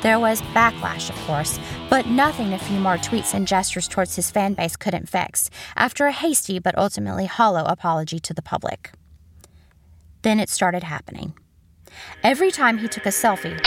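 A woman playing a haunted journalist, narrating a first-person horror story in a measured, suspenseful manner. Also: medium pitch, close to an everyday voice. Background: music.

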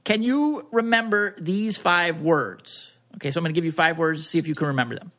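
A man co-hosting a podcast, playfully quizzing a guest in a joking, halting way. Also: on the high side, fairly bright tone. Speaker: podcast co-host. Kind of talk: casual chat, playful quizzing.

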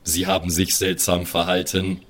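A man's voice: low voice, monotone, normal speed, a bit bright. A man voicing a cold, logical robot companion with stiff, formal, commanding phrasing in an improvised audio role-play. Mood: cold, firm.

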